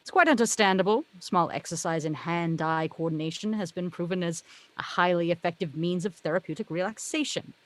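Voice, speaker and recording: Woman as a knowing muse from heaven, lowish voice, wry n a bit exasperated, script read. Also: fairly quick, no echo, close to an everyday voice. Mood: wry explaining. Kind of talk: table read of a film script.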